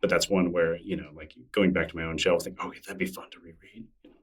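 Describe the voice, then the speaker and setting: Deep-voiced man musing at length. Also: steady tone, quick pace, distant mic. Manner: thoughtful, rambling with many fillers and restarts. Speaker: interview guest, writer. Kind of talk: casual interview conversation.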